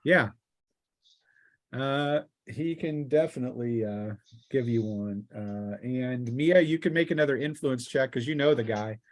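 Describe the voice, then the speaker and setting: A man running a tabletop roleplaying game as game master, narrating and explaining rulings casually in a halting, explanatory way. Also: medium pitch.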